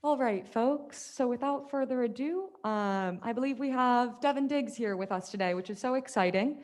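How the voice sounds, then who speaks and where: A woman's voice: fairly high pitch, normal speed, a little mellow. A young woman chairing a student government meeting, effusive and upbeat, heaping praise on speakers. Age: young adult.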